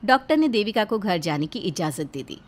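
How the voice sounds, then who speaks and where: A woman's voice: medium pitch, big pitch swings, a little fast. A woman reading scripted narration for a moral story as its storyteller.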